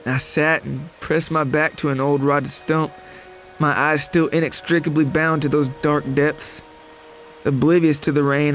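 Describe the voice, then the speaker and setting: A haunted, shaken male survivor , acted out by a man, recounts his ordeal in first person. His delivery is grave and literary, like a dramatic horror audio story, with a slightly high pitch and music underneath. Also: lightly acted. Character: traumatized, bloodied male survivor of a lake monster attack. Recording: music behind the voice.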